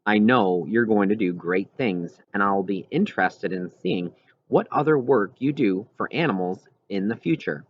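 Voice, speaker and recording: Kindly low-voiced scientist, gently explaining read by a man. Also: moderate pace, close to an everyday voice. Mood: reassuring, explanatory. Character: kindly adult whale researcher writing an apologetic email. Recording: dull, lo-fi recording.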